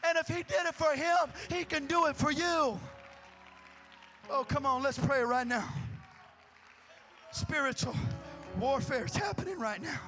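A man preaching from the pulpit of a church sanctuary, his voice pitched very high and ringing bright. He starts quiet and builds into shouted, fervent preaching and prayer, with soft music underneath. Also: unhurried, long pauses, a faint crowd. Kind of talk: sermon with altar call prayer.

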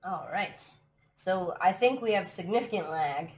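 A woman's voice: lowish voice, lively intonation, measured pace. Chatty throaty woman host. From United States. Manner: chatty, laughs often, many restarts. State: throaty from allergies. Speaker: live stream podcast host. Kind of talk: casual chat. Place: at home abroad, live stream.

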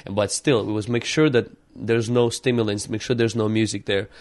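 Lower-voiced man from Ukraine, earnest. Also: fairly even intonation, normal speed, a little mellow. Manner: earnest, reflective, with frequent restarts. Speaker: pastor and podcast guest. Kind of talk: opening prayer, then storytelling interview answers.

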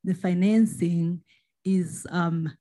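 Lower-voiced Tanzanian woman, hesitant phrasing. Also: steady tone, slow pace, warm tone. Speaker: social protection expert, audience member. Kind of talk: spoken question and comment at a seminar.

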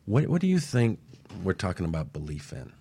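A man's voice: sits low, big pitch swings, a little fast, warm tone, a little hiss or hum. A man hosting a motivational talk show, speaking in an emphatic, preachy way and repeating phrases for effect.

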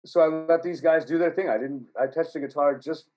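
A man's voice: a little high, fairly even intonation, a little fast, darkish tone, dull audio. A man talking as a musician guest in a casual podcast chat, rambling and profane, with frequent laughs.

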